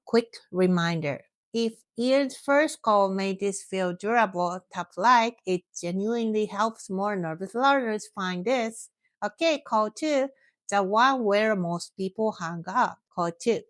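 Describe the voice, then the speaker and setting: A woman's voice: mid-range voice, no room sound. A woman giving scripted language instruction in short, encouraging phrases, speaking directly to the learner as a teacher. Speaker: Japanese language teacher and host.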